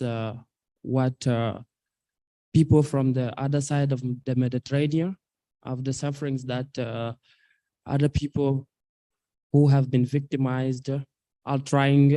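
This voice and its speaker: Man in his twenties, from South Sudan, very dark tone, dry close sound, earnest halting testimony. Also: medium pitch, no room sound. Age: 20s. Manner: earnest, halting, with frequent fillers. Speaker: invited activist speaker. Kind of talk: personal testimony at a public talk.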